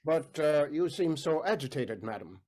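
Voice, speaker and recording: A jealous, domineering count, suspicious and demanding. His voice is fairly high for a man and rises and falls sharply in pitch. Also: big pitch swings, normal speed, darkish tone. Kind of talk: stage audio play acting.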